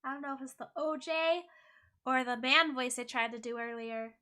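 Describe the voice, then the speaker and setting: A young woman streaming a game and chatting with viewers, playful and teasing, her voice a little hoarse. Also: sits high, normal speed, pushed and bright. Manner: playful, giggly, teasing chat. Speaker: VTuber streamer. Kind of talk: casual game stream chat, reading chat.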